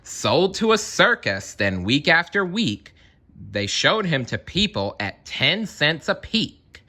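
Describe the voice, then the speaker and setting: Male children's storyteller: slow, very lively intonation, expressive, dramatic emphasis. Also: a little high. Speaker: storybook reader. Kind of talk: expressive children's read-aloud narration with asides.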